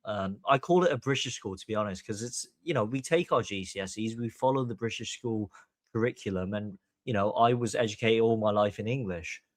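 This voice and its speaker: A man's voice: fairly low pitch, fairly quick, dry recording. A man from Hong Kong hosting a podcast, giving opinionated commentary in an emphatic, long-winded way. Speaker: podcast host.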